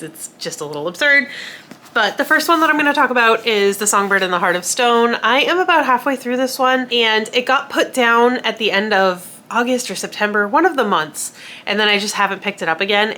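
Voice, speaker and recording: A woman's voice: mid-range voice, sharp tone. A woman with a cold and a nasal, congested voice, chatting casually to camera about books. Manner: chatty and self-deprecating, with frequent fillers and laughs. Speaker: book vlogger.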